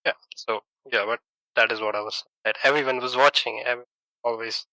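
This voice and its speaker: A man's voice: medium pitch, fairly even intonation, moderate pace, pushed and bright, dry recording, low-quality recording. A man from India, speaking as an in a casual podcast chat, laughing often and searching for words. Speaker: podcast host.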